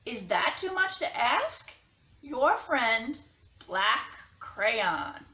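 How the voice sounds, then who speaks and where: A woman reads as a jealous, aggrieved storybook crayon. The voice is high and deliberate, with a roomy echo behind every phrase. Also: sing-song, sharp tone, heavily acted. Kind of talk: children's picture-book read-aloud. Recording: roomy, echoing sound.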